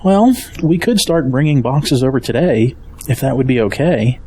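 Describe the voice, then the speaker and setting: Man acting kindly family man, audio drama, husky voice, medium pitch, steadfast, protective. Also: animated, normal speed, mellow, poor audio. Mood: reassuring, practical.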